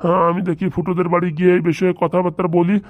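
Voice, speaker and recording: A man's voice: sits high, completely flat delivery, very fast, phone-quality sound, natural voice. A man voicing a harried, coaxing village husband in a comedy cartoon. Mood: reassuring. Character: harried village husband and father-to-be, soothing his wife. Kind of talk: dubbed comedy cartoon dialogue.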